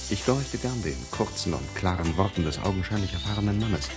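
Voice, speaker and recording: A man playing an unflappable adventurer who narrates absurd exploits in a measured deadpan, in a scripted experimental radio play. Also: low-pitched. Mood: detached, narrating. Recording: music behind the voice.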